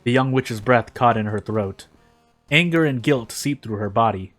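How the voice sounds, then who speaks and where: A man's voice: mid-range voice, normal speed, a bit bright, soft background music. Man narrating a story dramatically. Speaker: reader of a fan fiction story.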